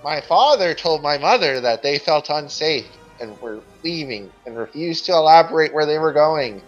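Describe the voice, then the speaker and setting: A man's voice: a little high, lively intonation, moderate pace, close to an everyday voice. A man putting on an old person's voice to read a written account aloud with mock drama. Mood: theatrical. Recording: dull lo-fi sound with music behind.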